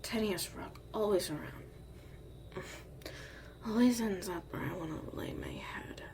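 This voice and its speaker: A woman acting a gruff, guarded but secretly caring young mage mentor in a zombie apocalypse ASMR roleplay, soft-spoken and often near a whisper. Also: medium pitch, wildly swooping pitch, a little slow, noisy background, heavily acted. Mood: grumpy, weary.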